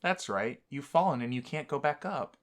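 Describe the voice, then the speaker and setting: A man's voice: mid-range voice, expressive, moderate pace. A man hosting a let's-play, bantering teasingly and reading a visual novel game aloud.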